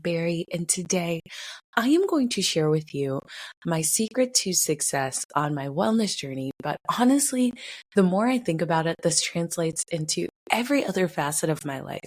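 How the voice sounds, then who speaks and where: A young adult woman hosting a casual wellness podcast. She has a stuffy, congested, slightly raspy voice and talks to the listener in a chatty, upbeat way, like a best friend. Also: lowish voice, darkish tone. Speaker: podcast host.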